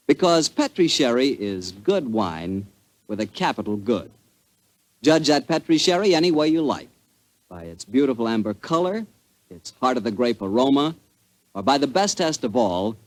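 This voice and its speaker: An American man announcing in 1940s radio style, with a folksy, emphatic salesman's delivery. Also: medium pitch. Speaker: radio announcer and sponsor's pitchman.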